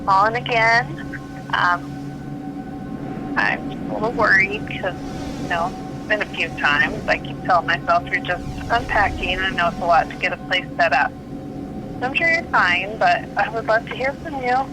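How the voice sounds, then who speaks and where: A woman acting a caring, worried, gently apologetic caller who rambles tentatively through a voicemail in an audio drama. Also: medium pitch, moderate pace, noisy background, natural voice. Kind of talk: naturalistic fiction podcast voicemail acting.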